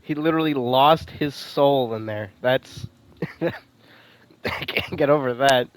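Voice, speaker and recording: A man streaming a game in a middle-pitched voice. He comments casually, with a wry, amused way of talking, as if chatting along with what he plays. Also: slow pace, clean tone.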